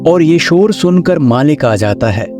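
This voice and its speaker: A man's voice: on the high side, expressive, a little slow. A man reading scripted narration of a children's story as the storyteller. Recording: music under the voice.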